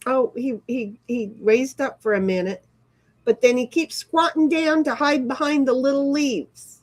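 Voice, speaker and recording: A woman's voice: high voice, a little slow. A middle-aged woman hosting a casual livestream, rambling and swearing offhandedly as she chats. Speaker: livestream host. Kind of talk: casual livestream chat, reading chat aloud.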